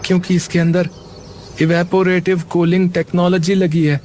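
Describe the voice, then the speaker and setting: A man's voice: high voice, level tone, moderate pace, noisy background, natural voice. A man voicing a humble, polite young laborer in a cartoon. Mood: questioning. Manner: polite, pleading. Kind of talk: cartoon voice acting.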